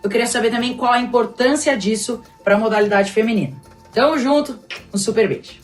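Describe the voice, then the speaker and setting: A woman's voice: fairly high pitch, fast talker, soft background music. A young woman presenter recording a friendly video question for a guest. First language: Portuguese. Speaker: host sending video question.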